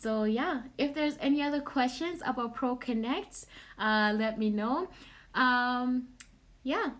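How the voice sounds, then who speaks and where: A young adult woman from Zimbabwe hosting a casual live question-and-answer session, informal and halting, repeating words as she thinks. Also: high voice, animated, fairly bright tone. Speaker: platform host answering audience questions.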